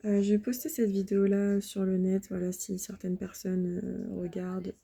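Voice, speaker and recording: A woman in her thirties from France, recording a halting, upset personal appeal to viewers. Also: medium pitch, little pitch movement, vocal fry, dark tone, slightly rough. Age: 30s. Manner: halting, many hesitations and restarts; upset, defensive. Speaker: vlogger. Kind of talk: personal video appeal to the public.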